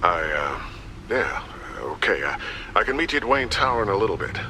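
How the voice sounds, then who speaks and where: Amiable middle-aged game inventor, low voice, lively pitch, casual, hesitant, noisy background. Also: male voice, moderate pace, exaggerated voice. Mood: hesitant, friendly. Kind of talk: naturalistic video game acting.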